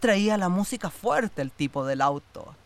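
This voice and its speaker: An actress playing a pushy, gossipy neighbour who sells door to door, effusive and theatrical, in a radio drama. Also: female voice, fairly low pitch, expressive. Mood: gossipy, uneasy. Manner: effusive, gossipy saleswoman patter with drawn-out words. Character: chatty, pushy, theatrical neighbour woman selling plastic containers. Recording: noisy recording with clicks.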